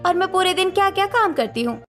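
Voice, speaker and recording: A woman's voice: very high-pitched, lively intonation, fast talker, fairly bright tone, faint music behind. A woman playing a fed-up, resolute young daughter-in-law in a cartoon story, speaking earnestly and assertively. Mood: hurt, assertive. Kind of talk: Hindi cartoon story dialogue.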